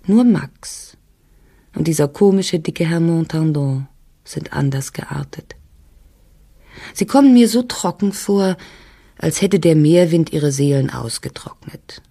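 A woman playing a lonely, melancholy outsider confiding in a letter in an audio drama, often trailing into hushed breath. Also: sits low, lively intonation, slow pace, vocal fry, breathy edge, full character voice. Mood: wistful, oppressed.

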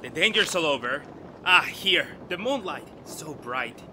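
A man plays an excitable amateur astronomer in a retro radio drama. His voice is high and very bright, and it swoops widely as he lectures in a grand, professorial way on what he loves. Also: unhurried, a little hiss or hum, full character voice, low-quality recording. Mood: eager, insistent.

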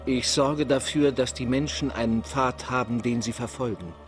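A man acts as an ancient Egyptian creator god in a documentary. He proclaims slowly and solemnly, like a ritual recitation, with an all-powerful bearing. Music plays clearly under his voice. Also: mid-range voice, fairly even intonation, lightly acted. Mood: solemn, majestic. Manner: solemn, slow incantation.

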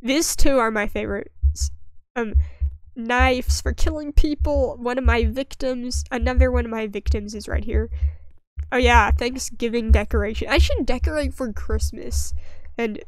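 High-voiced, sniffly young woman gamer. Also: measured pace, no echo, poor audio. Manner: rambling, self-deprecating, dry humour. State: sniffly, getting over a cold. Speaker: gaming video creator. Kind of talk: casual gameplay commentary.

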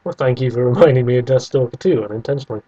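A man's voice: medium pitch, rapid-fire, mellow, slightly rough, muffled recording. A young man hosting a casual podcast chat about games, teasing and sarcastic. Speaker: podcast host.

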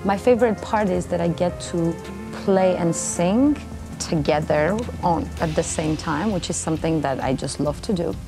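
A woman with a fairly low voice and an Armenian accent speaks in an interview style. She is in her thirties, and music plays clearly behind her. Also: normal speed. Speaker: cellist and vocalist. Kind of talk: interview testimonial. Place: indoor backstage area, seated.

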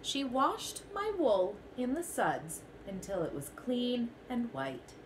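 A woman's voice: fairly high pitch, huge pitch swings, slow pace, a little hiss or hum, low-quality recording. A young woman teacher reading a children's picture book aloud in a small bedroom, telling it expressively in the first person.

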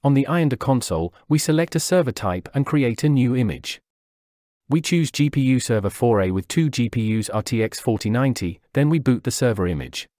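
A man narrating a scripted software tutorial, walking through each step in a steady, instructional way. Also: medium pitch, brisk, no echo, clean studio sound. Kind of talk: scripted software walkthrough voice-over. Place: voice-over over screen recording.

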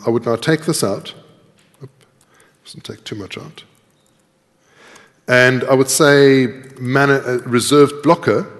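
A middle-aged man giving a live coding lecture, explaining and thinking aloud as he types. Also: medium pitch. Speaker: conference speaker. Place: large tiered lecture hall. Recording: echoey lecture hall with long reverb.

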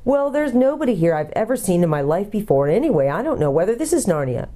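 A woman's voice: mid-range voice, big pitch swings, quick pace, warm tone, pitched above the performer's own voice. A woman voicing a sulky, disagreeable schoolboy with a grumpy, curt manner.

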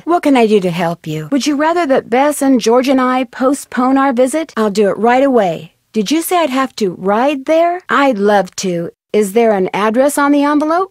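Actress as a curious young female sleuth, American, higher-pitched, friendly, inquisitive, polite, adventure game dialogue, dry close sound. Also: darkish tone, no echo, close to an everyday voice. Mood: concerned, probing.

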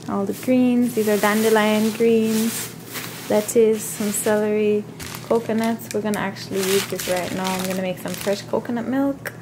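A woman chatting casually as a vlogger, with an upbeat, expressive manner. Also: mid-range voice, normal speed, noisy background. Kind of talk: casual vlog chat.

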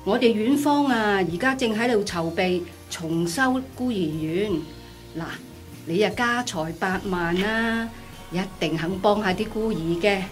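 Lady acting a talky hong kong cantonese orphanage head, exclaims a lot and keeps pushing, radio play, soft music behind. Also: medium pitch, unhurried, lightly acted. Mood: pleading. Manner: exclamatory, persistent. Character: chatty, pleading female orphanage director. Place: small padded radio studio.

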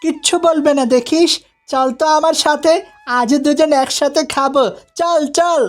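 A woman's voice: high voice, fairly even intonation, moderate pace, lightly acted. A woman voicing a kind, eager young village boy in a cartoon fairy tale. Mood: eager, coaxing.

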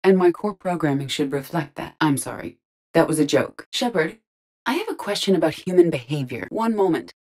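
Female ship AI, low voice, composed, precise, formal, deadpan, video game dialogue. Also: a little fast. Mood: deadpan joking. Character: calm, courteous female artificial intelligence aboard a starship.

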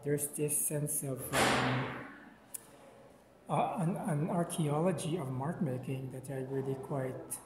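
A man's voice: mid-range voice, slow, background noise. A man from the Philippines whose first language is Tagalog, hosting a casual walking vlog about contemporary art, rambling with many fillers as he tours a campus and its gallery. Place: outdoor campus plaza, then indoor gallery.

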